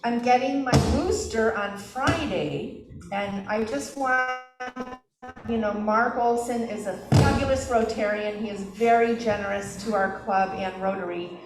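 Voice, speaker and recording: A woman hosting a club meeting, chatting warmly with members and making announcements. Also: medium pitch, unhurried, reverberant, recorded from a distance, loud music behind the voice, low-quality recording. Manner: upbeat, encouraging interviewer. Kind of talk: casual meeting chat.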